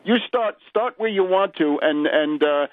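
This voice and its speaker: Muffled radio host, higher-voiced man, professorial. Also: animated, measured pace, crowd noise. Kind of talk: conversational political radio interview.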